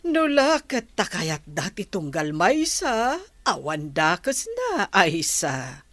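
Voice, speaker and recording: A woman acting in an Ilocano radio drama as an accepting, unhurried madam of a household. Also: lowish voice, wildly swooping pitch, a bit bright, dry recording, full character voice. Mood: calm, accepting.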